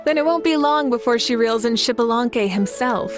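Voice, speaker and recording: A woman's voice: on the high side, moderate pace, natural voice. A woman playing a confident, composed leader in a video game, speaking in an assured, articulate, wryly teasing way. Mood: amused. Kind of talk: video game character dialogue. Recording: faint music behind the voice.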